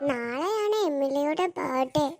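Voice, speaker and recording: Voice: high-pitched, animated, unhurried, loud music behind the voice. A performer voicing a friendly, polite young cartoon schoolgirl, a loyal best friend, in a childish manner. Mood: eager. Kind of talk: scripted cartoon dialogue.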